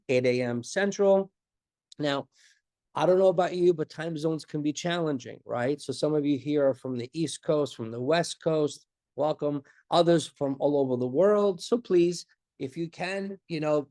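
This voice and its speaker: A man's voice: fairly high pitch, darkish tone, no room sound, clean studio sound. A middle-aged man with a congested voice, coaching students in a live online class in a chatty, reassuring way, often asking rhetorical questions. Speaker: exam prep coach.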